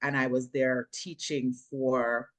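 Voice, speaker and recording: A woman's voice: a bass voice, flat delivery, slow pace. A middle-aged woman with a Jamaican accent, chatting animatedly as an interview guest, laughing often and slipping into patois. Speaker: filmmaker and actress, guest. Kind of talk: casual interview chat.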